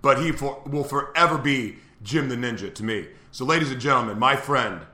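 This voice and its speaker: Upbeat bright-voiced male host. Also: mid-range voice, a little fast, echoey room. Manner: upbeat, laughing easily. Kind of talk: casual live show chat.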